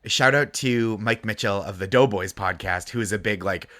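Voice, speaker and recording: A man from Massachusetts with a light Massachusetts accent, guest co-host in an animated, chatty podcast conversation. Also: on the low side, moderate pace. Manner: animated, chatty, laughs often. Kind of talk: casual chat.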